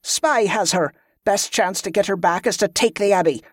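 Fantasy audiobook, stern woman commander played by a man, raised pitch, scornful, clipped orders, inverted dialect phrasing, dry sound. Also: normal speed, no room sound. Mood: commanding, urgent.

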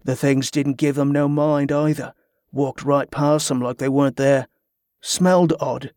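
Audiobook smuggler, played by a male narrator: menacing, emotionless, clipped rough talk, dry studio sound. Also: mid-range voice, measured pace, dry recording, natural voice. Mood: deadpan. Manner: flat, terse, unbothered, rough grammar. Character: blank, menacing, blood-spattered male smuggler.

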